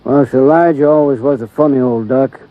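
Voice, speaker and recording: A slow-talking country deputy sheriff, beefy and unhurried, drawling in plain rural grammar, played by a man. The voice sits at an ordinary middle pitch. It comes through the dull, muffled sound of a vintage radio drama. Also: steady tone, natural voice. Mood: laconic. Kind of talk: 1950s radio drama acting. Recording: dull lo-fi old radio recording.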